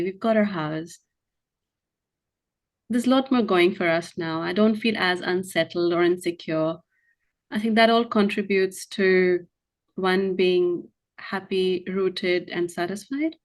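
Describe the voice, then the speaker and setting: A middle-aged woman from India with an accent that blends Indian, Irish and Australian sounds, answering interview questions thoughtfully with some hesitation. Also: medium pitch. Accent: mixed Indian, Irish and Australian. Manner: thoughtful, hesitant, with soft fillers. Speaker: interview guest.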